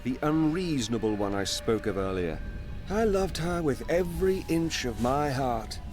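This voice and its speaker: An actor playing a roguish, jilted companion in a fantasy game, with a wry, dramatic delivery. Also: male voice, mid-range voice, very animated, moderate pace, natural voice. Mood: bitter wryness. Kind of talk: fantasy video game voice acting. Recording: music underneath. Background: music.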